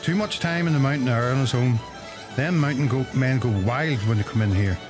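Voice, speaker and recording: A man's voice: medium pitch, brisk, over music, close to an everyday voice. A man playing a gossipy, self-important village publican with a Donegal Irish accent in a radio play. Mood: grumbling.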